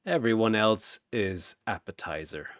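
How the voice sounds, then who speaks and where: Man acting a slow, predatory warrior. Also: fairly low pitch, a bit bright, no room sound, clean studio sound. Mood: predatory contempt. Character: contemptuous, predatory male warrior with a bloodthirsty appetite.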